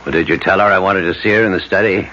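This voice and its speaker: A man's voice: deep voice, fairly even intonation, very fast, bright, forceful tone. An actor playing a stern, unyielding older father in an old radio drama, commanding and scornful. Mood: demanding. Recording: dull old radio recording.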